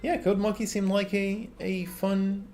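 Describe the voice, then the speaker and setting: A man's voice: sits high, measured pace. A Portuguese man in his thirties with a Portuguese accent, chatting casually on a livestream as he works on a game and answers viewers' questions frankly. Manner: relaxed, reads chat questions aloud and answers frankly. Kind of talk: casual livestream chat while working. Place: home office, indoors. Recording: quiet lofi music underneath.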